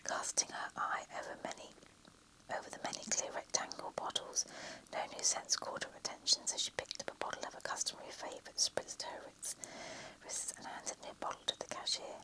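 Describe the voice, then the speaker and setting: A reader whispering a romantic story in a soft, unvoiced hush, with small slips and self-corrections. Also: a little fast, rough recording. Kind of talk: whispered story reading, ASMR.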